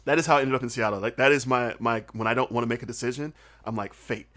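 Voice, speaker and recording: A young man with a faint Southern US accent, telling stories as a podcast guest, animated and laughing often, full of "like" and "uh". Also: medium pitch, fast talker. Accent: Southern US, mostly hidden. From southern United States. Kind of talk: casual storytelling chat.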